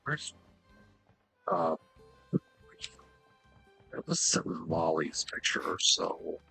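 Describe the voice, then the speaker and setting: A man streaming a game with casual commentary, halting and stumbling over his words at times. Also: low voice, very animated, gravelly, sharp tone, husky. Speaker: gameplay streamer. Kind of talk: casual game commentary and reading dialogue aloud. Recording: faint game music behind.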